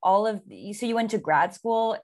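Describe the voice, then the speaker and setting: A woman's voice: mid-range voice, normal speed, darkish tone. A woman hosting an interview podcast, introducing her guest and asking questions eagerly. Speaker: podcast host.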